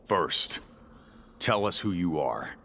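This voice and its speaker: A man playing a grim, weary, battle-hungry warrior in a video game, with a terse, brusque, deadpan delivery. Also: deep voice, takes it slowly, creaky, slight background noise, heavily acted. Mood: impatient. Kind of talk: video game cutscene acting.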